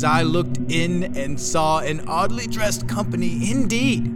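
A man giving a dramatic, deliberate reading of gothic horror fiction, often dropping to a breathy hush. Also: on the high side. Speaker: narrator reading a horror story. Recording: loud music under the voice. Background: loud music.

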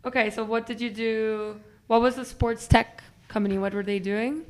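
A woman's voice: on the high side, fairly even intonation, normal speed, a little hiss or hum. A woman from Belgium, who also grew up in Germany, interviewing a guest with short, curious follow-up questions. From Belgium, grew up partly in Germany. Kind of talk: conversational interview.

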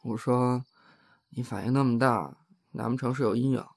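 A man's voice: mid-range voice, normal speed, vocal fry, darkish tone. A man in an audio drama playing a mild, patient housemate with an understated way of speaking. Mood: exasperated. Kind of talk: amateur Chinese audio drama acting.

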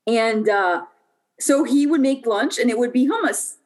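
A woman's voice: on the high side, animated, measured pace. A young adult woman from Puerto Rico, a podcast guest telling her life story in a casual, chatty way with lots of fillers and restarts.

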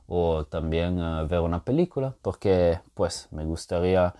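A man's voice: deep voice, animated, a little slow, mellow. A man hosting a casual solo podcast, talking hesitantly with many fillers and tag questions. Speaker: podcast host.

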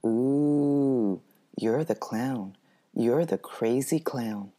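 A woman's voice: extremely low pitch, extremely slow, warm tone, slight background noise, heavily acted. A woman voicing a mocking, sing-song bully in a horror story reading.

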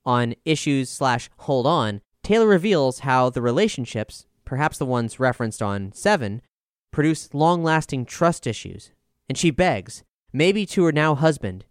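A man with a smooth voice narrating a scripted music review as a voice-over. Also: mid-range voice, expressive, unhurried, dry recording, clean studio sound.

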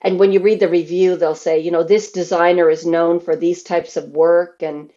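A woman's voice: on the low side, steady tone, normal speed, mellow, dull audio. A woman from Canada telling stories casually as an interview guest, acting out what others might say. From Canada, grew up in Newfoundland and Alberta. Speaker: interview guest, theatre performer.

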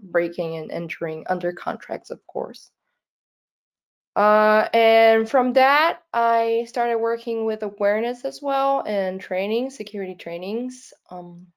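Nervous Brazilian woman giving a talk. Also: medium pitch. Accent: Brazilian Portuguese. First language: Portuguese. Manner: nervous, many fillers and restarts, laughs often. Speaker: conference speaker. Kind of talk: conference talk.

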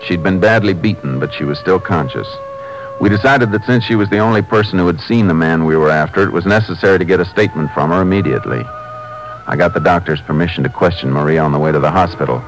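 An American actor playing a calm, methodical Texas Ranger in a 1950s radio drama, speaking in a measured, matter-of-fact way as investigator and narrator. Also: male voice, sits low, music in the background, poor audio. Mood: narrating, grave.

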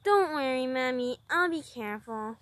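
A woman voicing a timid, eager young girl in a storybook reading, with a high, squealing voice. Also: animated, normal speed, fairly bright tone, no echo.

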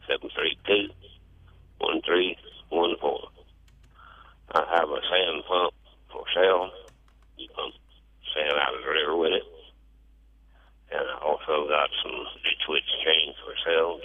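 A man's voice: very deep voice, a little slow, long pauses, dry recording. A man calling in to a radio show over the telephone, plainly listing things in a matter-of-fact way. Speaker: phone-in caller selling items. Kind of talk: plain listing of items for sale. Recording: muffled telephone line on radio.

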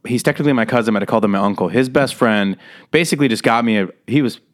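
A man's voice: mid-range voice, rapid-fire. A man in his thirties from Las Vegas, telling his story as a comedian guest in a casual interview, rambling, laughing and swearing as he goes. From Las Vegas, USA.

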